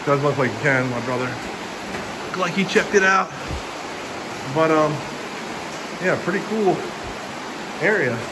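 A man's voice: a little high, a little slow, long pauses, noisy background. A young man casually narrating a hike through a cave, rambling with lots of fillers, filmed on a handheld camera. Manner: relaxed, rambling, filler-heavy commentary. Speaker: hiking vlogger. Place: inside a cave. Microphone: handheld camera held by the speaker.

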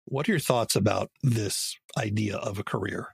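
A man's voice: on the low side, moderate pace, creaky, slightly rough, dry recording, clean studio sound. A man hosting a podcast interview in a conversational style.

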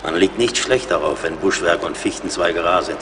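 A man's voice: sits low, moderate pace, a bit bright. A man playing a middle-aged, wry and watchful frontier scout in a German audio drama. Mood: calm, wry. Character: seasoned frontier scout, wry, plain-spoken, watchful, loyal. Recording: reverberant, noisy outdoor-like ambience.

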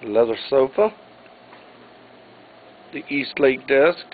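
Man listing auction items, tinny audio. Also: mid-range voice. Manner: plain, unhurried item-by-item listing. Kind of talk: informal walkthrough narration. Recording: tinny phone-like audio, faint hiss.

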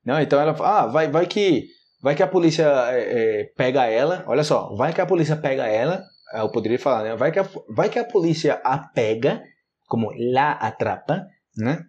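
A man in his thirties with a Brazilian Portuguese accent, teaching Portuguese vocabulary in a casual, animated video lesson and acting out example phrases. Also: medium pitch, fairly quick, muffled recording. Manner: animated, gestures a lot, acts out example phrases. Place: small indoor room, plain wall.

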